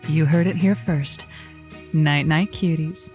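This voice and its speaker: A woman's voice: sits low, steady tone, slow, loud music behind the voice. A woman playing an earnest, encouraging radio advice host in a comedic audio drama, speaking close to a studio microphone. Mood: signing off.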